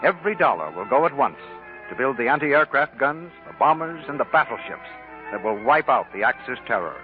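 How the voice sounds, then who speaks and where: A man's voice: mid-range voice, very animated, brisk. An American man reading scripted announcements in the formal, emphatic style of 1940s radio. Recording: vintage 1940s radio, muffled, music.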